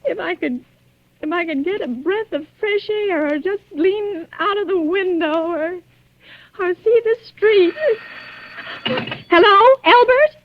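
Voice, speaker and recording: An actress playing a nervous, demanding, self-pitying bedridden wife in an old radio suspense drama, agitated and stammering. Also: female voice, very high voice, slow pace, exaggerated voice. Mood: distraught. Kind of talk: 1940s radio suspense acting. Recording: dull vintage radio recording.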